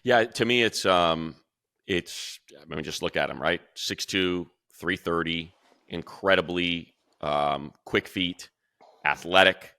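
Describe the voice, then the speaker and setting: A man co-hosting a football podcast, talking fast and excitedly in a rambling way, full of fillers and restarts. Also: deep voice, smooth, no echo, studio quality. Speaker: radio play-by-play announcer and co-host. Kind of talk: casual football podcast analysis.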